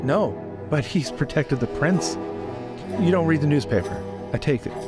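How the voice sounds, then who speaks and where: A man playing a proud, smooth-talking marine with a rhetorical, persuasive manner in a tabletop roleplaying game. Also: fairly high pitch, expressive, moderate pace, loud music behind the voice, lightly acted.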